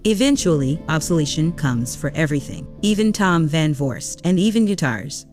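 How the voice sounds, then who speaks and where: A woman with a low voice delivers scripted story narration as the narrator of an audio drama. Faint music sits underneath her. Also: animated, moderate pace, a little mellow.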